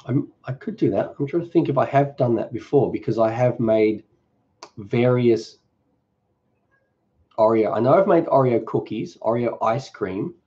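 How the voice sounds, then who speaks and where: A middle-aged Australian man hosting a cooking channel, reading and answering viewer questions in a relaxed, rambling, joking way during a live stream. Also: medium pitch, normal speed, lo-fi sound.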